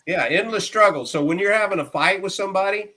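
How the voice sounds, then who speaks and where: A man's voice: high voice, fast talker, bright, forceful tone. A man in a casual chat, patiently explaining phrases and spelling words out letter by letter.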